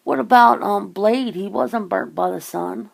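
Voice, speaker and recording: A woman speaks in a very gravelly, fry-laden voice at an unhurried pace. She muses and rambles through a casual ASMR chat, loose and informal in her phrasing. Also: medium pitch. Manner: musing, rambling, swears casually. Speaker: ASMR host.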